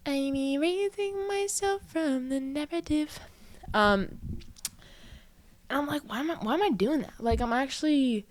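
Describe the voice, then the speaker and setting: Chatty young woman with a smooth voice. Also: mid-range voice, darkish tone, dry recording. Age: early 20s. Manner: chatty, self-reflective, full of "like" fillers. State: a little out of breath. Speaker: podcast host. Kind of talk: casual solo podcast monologue.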